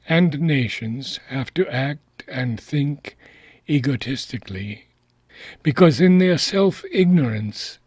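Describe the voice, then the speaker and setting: Slow, husky man reading solemnly. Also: fairly high pitch, a little mellow, dry recording. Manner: deliberate, solemn, phrase-by-phrase delivery with pauses. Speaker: reader of a spiritual philosophy book. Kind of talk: measured audiobook-style reading.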